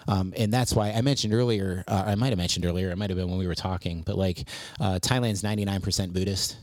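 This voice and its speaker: A man's voice: low-pitched, steady tone, quick pace, darkish tone, no echo. A man, a missionary guest, chatting casually on a podcast, rambling with frequent restarts and easy laughs. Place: lives in Thailand eight years.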